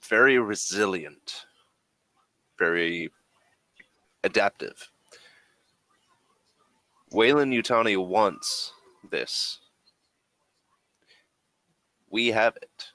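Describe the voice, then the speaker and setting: A man playing a secretive, persuasive corporate agent in a tabletop game, speaking in a deliberate, halting, emphatic way. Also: on the low side, pushed and bright, dry recording, heavily acted. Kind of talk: in-character tabletop role-play.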